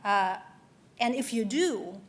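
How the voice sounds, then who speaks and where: A woman's voice: mid-range voice, animated, slow pace. A woman from Russia giving a personal, earnest conference keynote, with frequent ums and restarts. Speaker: keynote speaker. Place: event hall.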